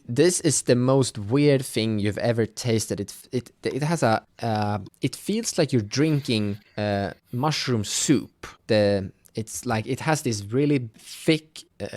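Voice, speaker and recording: A man narrating a tabletop fantasy game as game master, describing things in a halting, improvised way. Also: mid-range voice, darkish tone, dry recording.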